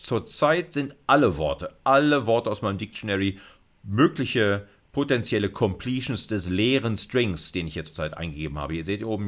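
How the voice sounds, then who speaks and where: A middle-aged man giving an informal university lecture, thinking aloud in a colloquial way as he demonstrates. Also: lowish voice. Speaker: university lecturer in computer science. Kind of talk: informal lecture narrating a live coding demo.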